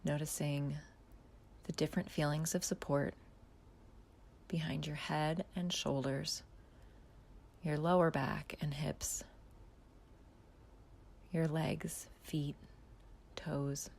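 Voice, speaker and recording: A woman calmly guiding a body scan meditation, speaking slowly and softly with long pauses and a half-whispered delivery. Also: sits low, gravelly. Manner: slow, soft, calm instructions with long pauses, often half-whispered. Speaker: mindfulness guide.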